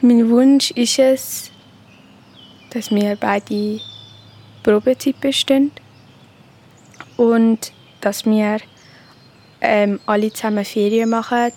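A woman's voice: mid-range voice, darkish tone, slight background noise. A fifteen-year-old girl with a Swiss German accent, speaking in interview talk and narration for an audio piece. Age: 15, teenager. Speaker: teenage participant in an audio documentary.

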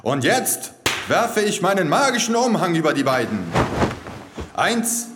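Young jumpy circus helper, highish voice, echoey, audio drama. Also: male voice, very animated, measured pace, noisy background. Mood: bluffing showman. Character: young circus odd-job worker, earnest and jumpy. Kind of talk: radio play acting. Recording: echoey hall reverb with music.

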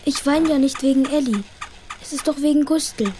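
An actor as a high-voiced anxious little boy. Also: normal speed, noisy background, close to an everyday voice. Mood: worried, pleading. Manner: pleading, childlike, often whispering. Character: small anxious little boy, tender-hearted. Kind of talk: children's radio play acting. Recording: music behind the voice.

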